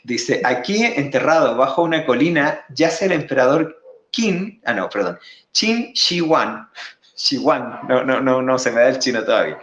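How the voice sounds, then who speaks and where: A man presenting slides in a live online talk. He explains at length, often pausing and repeating words. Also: medium pitch, animated, a bit bright, roomy sound. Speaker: guest presenter.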